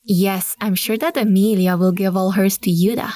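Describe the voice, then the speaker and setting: A woman's voice: medium pitch, fairly even intonation, moderate pace, warm tone, no room sound, natural voice. A woman playing a practical young mother. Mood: plain. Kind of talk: audio drama acting.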